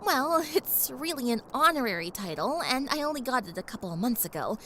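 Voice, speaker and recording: A woman's voice: high-pitched, very animated, fairly quick, pushed and bright, slight background noise, full character voice. A woman playing a young pony princess in an audio drama, polite, playful and earnest, with a friendly, slightly grand manner. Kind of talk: audio drama character acting. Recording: faint background ambience.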